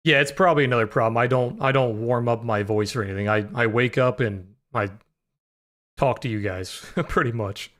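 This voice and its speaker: Young male streamer, deep voice prone to cracks, laid-back conversational delivery at a desk mic. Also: normal speed. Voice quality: naturally deep voice that sometimes cracks high. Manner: relaxed, filler-heavy, answers chat questions. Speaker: livestream trading host. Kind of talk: casual live-stream chat with chat readers.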